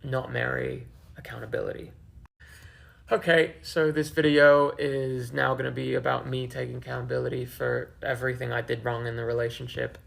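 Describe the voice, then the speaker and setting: A man in his twenties records a personal vlog for his viewers. His mid-range voice has a noticeable gravelly fry. He speaks candidly about himself, with casual swearing. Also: medium pitch, little pitch movement, a little fast, hardly any pauses, fairly bright tone. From several countries including the Philippines, Australia and the UK. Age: 20s. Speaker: online video creator.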